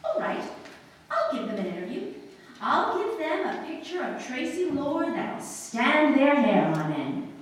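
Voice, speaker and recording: An actress playing a haughty, theatrical young society bride in an old-time radio comedy, performed live on stage. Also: female voice, high voice, big pitch swings, measured pace. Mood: indignant, imperious. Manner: grand, theatrical, mock-gracious. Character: haughty young society bride, imperious, gushing with mock sweetness. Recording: echoey stage hall, distant mic, faint noise.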